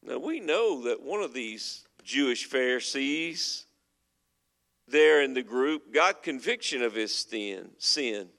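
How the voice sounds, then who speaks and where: A man's voice: mid-range voice, animated, slow pace, vocal fry, a bit bright. A man preaching a sermon as pastor, earnest and emphatic as he works through scripture. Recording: long hall reverb.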